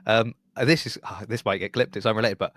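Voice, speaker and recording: A man's voice: lowish voice, fast, a bit bright, no echo, studio quality. A middle-aged man hosting a podcast in a casual, chatty interview style. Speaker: podcast host.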